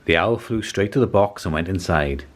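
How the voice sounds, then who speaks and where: A man reading a children's story aloud as scripted narration, in an expressive storytelling manner. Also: low voice, moderate pace. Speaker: storybook reader.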